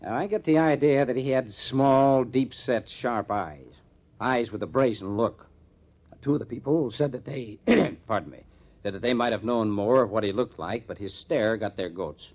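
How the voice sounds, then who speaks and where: An actor playing a hard-nosed, determined police detective in an old-time radio crime drama, speaking in a measured, emphatic way. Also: male voice, mid-range voice, animated, darkish tone. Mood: grimly determined. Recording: dull lo-fi old radio recording.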